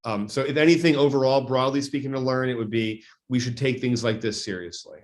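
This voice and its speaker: A man speaking as a state legislator guest, answering in a measured, deliberate way and often correcting himself. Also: medium pitch. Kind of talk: interview answers.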